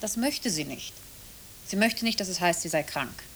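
An actress playing a young, dutiful, sympathetic secretary in a German radio play, polite and a little hesitant. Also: female voice, on the low side, animated, moderate pace, a bit bright. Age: young adult. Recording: faintly noisy old radio play recording.

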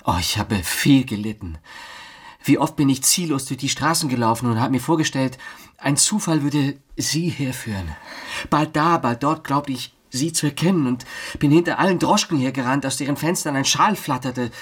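Male actor as earnest young romantic clerk, very breathy husky voice, self-dramatising, courting manner, radio play. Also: medium pitch, moderate pace, lightly acted. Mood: yearning. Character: ardent young law clerk courting a married woman. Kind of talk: German radio drama acting.

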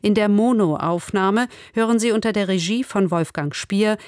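A woman radio presenter reading a scripted literary introduction in a measured, formal manner. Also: mid-range voice, a little mellow, clear, resonant tone, no room sound, studio quality.

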